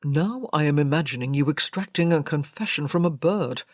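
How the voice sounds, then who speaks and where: A woman's voice: low voice, animated, moderate pace, a little mellow, no room sound, clean studio sound. A woman voicing a polished, wry male aristocrat in an audiobook. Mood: amused admiration.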